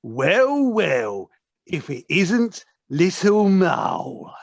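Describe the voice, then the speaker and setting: A scheming weasel gang boss from an audio play, voiced by an actor, drawling slowly with big swoops and sudden snaps. The sneering, bullying delivery sounds close and dry. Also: medium pitch, big pitch swings, creaky, no room sound, dull audio, full character voice. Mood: sly menace. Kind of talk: children's audio play acting. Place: living room at home, recorded over video call.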